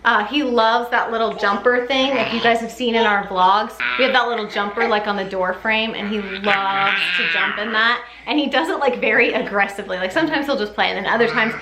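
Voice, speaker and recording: A woman's voice: on the high side, a little fast, few pauses. A woman chatting casually to camera as a parenting vlogger, in an informal, chatty way full of "like". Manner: chatty, informal, often says "like". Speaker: parenting vlogger giving a baby update. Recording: echoey room with faint background noise.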